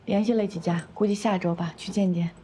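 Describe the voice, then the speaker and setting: A woman's voice: mid-range voice, fairly even intonation, fairly quick, warm tone, a little hiss or hum, lightly acted. A woman playing a young wife and mother in a naturalistic screen drama, colloquial and plain-spoken. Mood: subdued concern. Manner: terse, questioning, colloquial. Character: downcast, skeptical young wife and doting mother.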